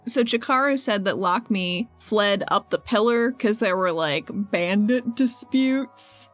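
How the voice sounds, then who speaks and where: A woman's voice: medium pitch, measured pace, fairly bright tone. A woman chatting casually as a player in a tabletop roleplaying game. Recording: music under the voice. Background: background music.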